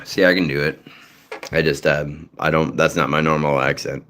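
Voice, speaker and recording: Low voiced massachusetts guy rambling casual, lots of likes and ums, swears a lot. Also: fairly even intonation, moderate pace, noisy background. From Massachusetts, North Shore. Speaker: voice actor. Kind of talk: casual livestream chat.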